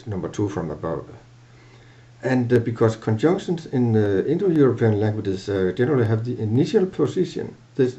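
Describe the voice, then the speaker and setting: An older man with a Danish accent explaining grammar in a halting, self-correcting way, filmed at a webcam in a small office. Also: fairly low pitch, warm tone, dull audio. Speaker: language teacher. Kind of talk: lecture to camera.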